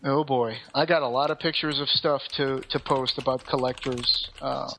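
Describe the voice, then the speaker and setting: A man's voice: medium pitch, fairly even intonation, normal speed, pushed and bright. A man co-hosting a podcast in casual chat, talking with enthusiasm and firm opinions. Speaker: podcast co-host.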